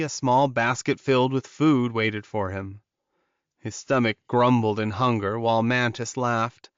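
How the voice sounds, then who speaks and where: A man's voice: mid-range voice, a bit bright, clean tone, no echo, highs cut off. A man reading a fantasy romance novel as scripted audiobook narration.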